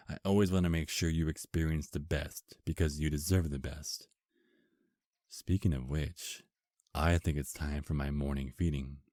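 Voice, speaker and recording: A man voicing a doting, cocky, centuries-old vampire husband in an intimate ASMR romance roleplay, speaking in halting, drowsy pillow talk. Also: a bass voice, dark tone, no echo. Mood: adoring, flirtatious.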